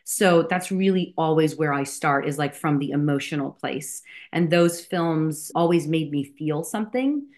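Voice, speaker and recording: A woman's voice: sits low, a little slow, few pauses, a little mellow. A woman from New Jersey, a film director and actress, answering interview questions in a chatty way with many fillers and restarts.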